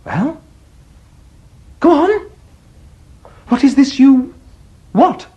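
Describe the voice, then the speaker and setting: An actor playing a flustered, cheeky husband in a British stage farce, with an English accent and a flippant, bantering manner. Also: male voice, very high voice, expressive, extremely slow, warm tone, dull audio, heavily acted. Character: flustered, cheeky young husband wriggling out of trouble.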